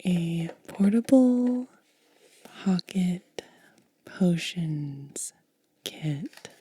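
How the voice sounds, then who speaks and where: Female ASMR host, hushed whisper, low-pitched and mellow, unhurried short phrases. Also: expressive. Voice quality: breathy, whispered. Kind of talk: soft-spoken ASMR.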